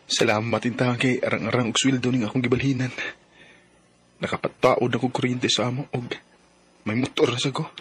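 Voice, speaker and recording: A man's voice: medium pitch, flat delivery, a little fast, slight background noise, close to an everyday voice. An actor with a Filipino Cebuano accent playing an ambitious, remorseful young man in a Bisaya radio drama. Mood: earnest, hopeful. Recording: radio drama with music underneath.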